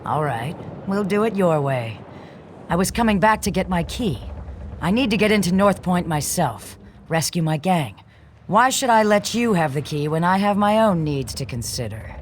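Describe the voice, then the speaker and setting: Brief: an actor doing a gruff, no-nonsense smuggler captain for a fantasy game, middle-pitched voice. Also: very animated, moderate pace, slight background noise, lightly acted. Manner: threatening, then grudgingly cooperative. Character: tough smuggler captain guarding a ship. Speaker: game character.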